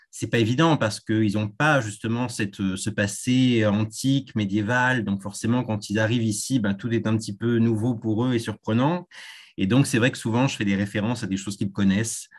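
A man with a fairly low voice, a tour guide whose first language is Spanish. He answers interview questions in a thoughtful, measured way, pausing often to search for his words. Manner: thoughtful, with frequent hesitations.

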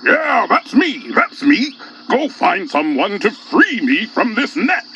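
A man's voice: high voice, very animated, slow, bright, forceful tone, slight background noise, exaggerated voice. A man voicing a big brown food-loving lion, comical and blustery, in a children's audio story. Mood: doubtful. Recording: dull cassette tape.

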